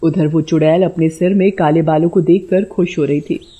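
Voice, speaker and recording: A woman's voice: sits low, fairly even intonation, normal speed, warm tone, breathy edge. A woman narrating a scripted bedtime story as storyteller. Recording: dull lo-fi audio.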